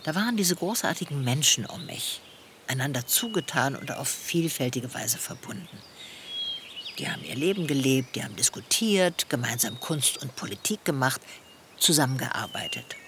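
A woman playing a thoughtful, articulate middle-aged feminist in a radio play, speaking in measured, reflective phrases. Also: extremely low pitch, expressive, background noise. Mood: reflective, sober. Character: thoughtful feminist woman recalling her longing for motherhood. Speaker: main character in a radio play. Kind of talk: reflective first-person radio play dialogue.

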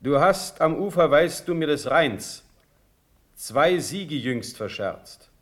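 An actor playing a dignified, fatherly ruler in a classic radio drama, speaking with measured authority. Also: fairly high pitch, expressive. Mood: stately, admonishing. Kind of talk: classic German radio play stage acting.

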